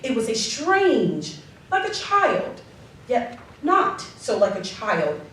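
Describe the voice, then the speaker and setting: A woman with a fairly high voice gives dramatic scripted narration for a live radio play. She is emphatic and theatrical, weighting key words. The sound is distant and strongly echoing, with faint noise. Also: huge pitch swings, fairly bright tone. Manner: theatrical, emphatic, deliberate word stress. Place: live community theatre stage. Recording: distant mic, very echoey live hall, slight noise.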